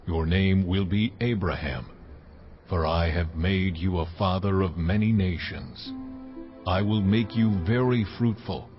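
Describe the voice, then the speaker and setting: A very low, majestic God declaims in a dramatized scripture recording. The delivery is stately and solemn. The sound is a little dull, with clear music behind it. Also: male voice. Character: almighty God, solemn, commanding, majestic male deity.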